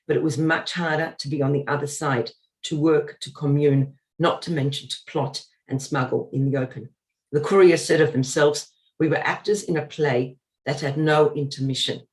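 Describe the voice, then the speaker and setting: A man with a fairly high voice gives an earnest community lecture. He reads parts of it from his notes and fills the gaps with ums and uhs. He explains things in a plain, steady way. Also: poor audio. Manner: hesitant, frequent ums and uhs. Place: synagogue talk.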